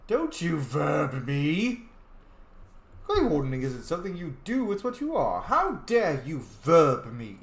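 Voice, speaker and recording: Excitable sweary young man gaming. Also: fairly high pitch, measured pace, echoey room. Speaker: gamer roleplaying his character. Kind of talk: casual let's play commentary with in-character lines.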